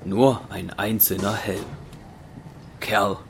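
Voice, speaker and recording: A man's voice: fairly low pitch, steady tone, takes it slowly, vocal fry, background noise. A man narrating a scripted audio drama as storyteller.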